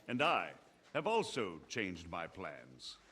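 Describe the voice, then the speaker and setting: A man acting a measured, resolute nobleman leading a war council in a game, with stately, oratorical delivery. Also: low-pitched, wildly swooping pitch, sharp tone, a little hiss or hum, heavily acted. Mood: grave, resolute. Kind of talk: dramatic scripted game acting.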